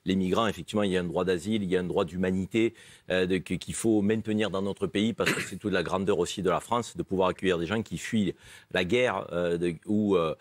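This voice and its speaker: A man's voice: sits low, no echo. A man speaking as a panelist in a political debate, emphatic and opinionated.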